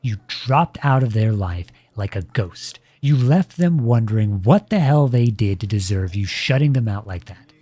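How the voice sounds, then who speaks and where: A man playing a protective boyfriend in a scripted audio roleplay, with measured, formal, pointed phrasing, polite but cutting. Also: on the low side, lively intonation, mellow, dry recording. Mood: indignant, scornful.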